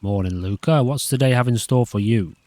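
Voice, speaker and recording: A man's voice: mid-range voice, fairly quick, darkish tone, no room sound, lightly acted. A man voicing a pompous, cryptic small-town newspaperman in a story game. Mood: pompous, ominous.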